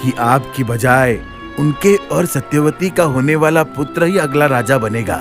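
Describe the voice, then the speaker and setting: A man plays a cartoon royal charioteer, deferential and reluctant. He talks slowly and haltingly in a creaky, gravelly voice. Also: medium pitch, over music, close to an everyday voice. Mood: hesitant, apologetic. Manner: hesitant, halting. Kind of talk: dramatic animated mythological dialogue.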